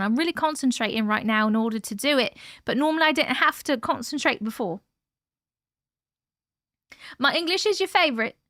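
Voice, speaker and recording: Bright high-voiced chatty woman host. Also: no room sound, studio quality. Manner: chatty, effusive, talks to chat viewers. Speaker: livestream host reading viewer stories. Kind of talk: casual live chat and reading aloud. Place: at home with her cat.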